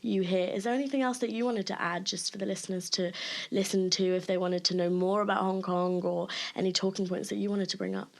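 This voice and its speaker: Female student interviewer, polite and encouraging, middling pitch. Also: fairly even intonation, quick pace.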